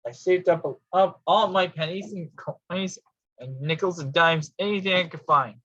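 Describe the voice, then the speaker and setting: Guy doing a funny story monologue, theatrical and a bit halting, husky, lo-fi sound. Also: sits high, fairly bright tone, no room sound. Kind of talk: performed comic storytelling monologue. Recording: dull lo-fi sound.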